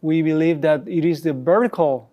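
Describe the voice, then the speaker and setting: Middle-aged guy raised in chile and peru, orthodontist guest, lots of uh and restarts, explains slowly. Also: a little high, warm tone. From Korea, raised in Chile and Peru. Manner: halting, many fillers and restarts, explanatory. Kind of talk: studio interview.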